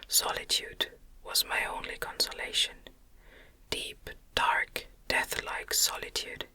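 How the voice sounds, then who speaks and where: Whispering woman, slow ASMR novel reading. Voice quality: whispered, breathy, unvoiced. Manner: slow, gentle, soothing delivery. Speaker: audiobook reader.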